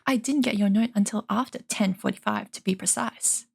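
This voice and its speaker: A woman acts out a small male hobbit from a fantasy storybook, fretful and apologetic. The voice is husky with a creaky edge, fussing and protesting. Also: medium pitch, fairly quick, a little mellow, dry recording, clean studio sound. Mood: flustered, apologetic. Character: flustered, fussy, apologetic little male hobbit.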